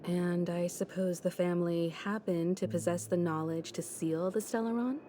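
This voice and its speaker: An actress playing a sharp young woman who calmly probes a hidden secret in a game cutscene. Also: fairly low pitch, moderate pace, noisy background, natural voice. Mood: probing. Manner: calm, probing questions. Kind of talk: video game cutscene dialogue. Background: game music.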